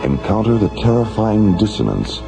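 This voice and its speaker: A man's voice: fairly low pitch, very animated, moderate pace, gravelly. A man delivering dramatic, documentary-style narration for a radio drama. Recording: 1970s radio broadcast under loud music. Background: loud dramatic music.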